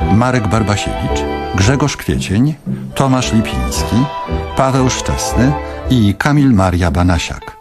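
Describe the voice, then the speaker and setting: Low-voiced male announcer over loud music. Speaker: announcer of closing credits. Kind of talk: scripted credits announcement.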